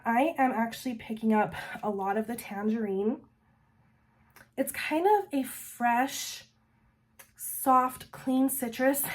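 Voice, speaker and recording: A woman with a fairly high voice talks through a casual review, enthusiastic and unhurried. Her voice still sounds slightly off as she recovers from losing it. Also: darkish tone. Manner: chatty, enthusiastic, describes scents slowly. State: recovering voice after illness. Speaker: vlogger reviewing scented wax.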